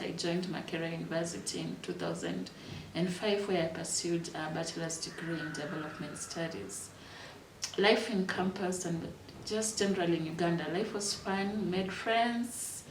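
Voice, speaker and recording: A Kenyan woman in her thirties telling her life story in a reflective, unhurried way, with fillers and restarts. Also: fairly low pitch, far from the mic, a little hiss or hum. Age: 30s. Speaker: interviewee. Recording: echoey room, voice from off-mic.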